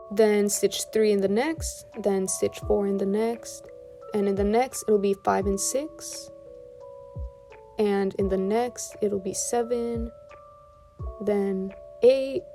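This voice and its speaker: A woman narrating a step-by-step craft tutorial, often counting aloud, as its host. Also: medium pitch. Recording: soft music bed under voice. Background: background music.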